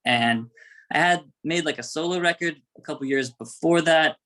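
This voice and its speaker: A man telling a story casually in an interview as a band musician, in a halting way, quoting himself as he goes. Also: a little high, bright, forceful tone.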